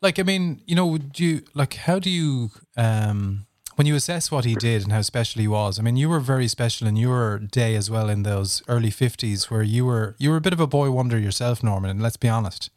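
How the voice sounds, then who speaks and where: A man's voice: medium pitch, fairly quick, darkish tone, no room sound. A man interviewing a guest, with long, rambling questions full of fillers.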